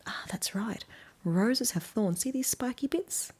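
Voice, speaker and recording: A woman with an Australian accent reading a picture book aloud to young children, with gentle, often hushed asides. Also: on the high side, animated, unhurried, husky. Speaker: children's story reader.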